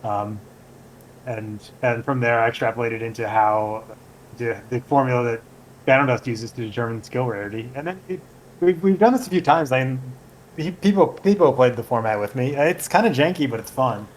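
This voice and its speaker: Man, podcast guest, hesitant, stop-start explaining, medium pitch, faint hiss. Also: moderate pace, poor audio. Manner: hesitant, many fillers and restarts, trails off. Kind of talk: casual chat.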